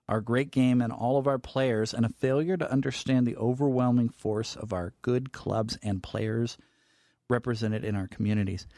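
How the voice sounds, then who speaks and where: A man's voice: mid-range voice, a little mellow, no room sound, studio quality. A man co-hosting a casual talk podcast, laughing easily and reading news quotes aloud. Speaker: podcast co-host. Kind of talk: casual chat.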